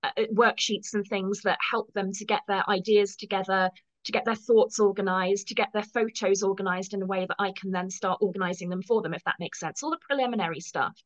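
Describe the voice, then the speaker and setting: A woman's voice: medium pitch, a little fast, poor audio. Chatty English woman in her fifties. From England. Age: 50s. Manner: friendly, chatty, with frequent ums and self-deprecating asides. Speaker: guest, professional photo organizer. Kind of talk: casual interview chat.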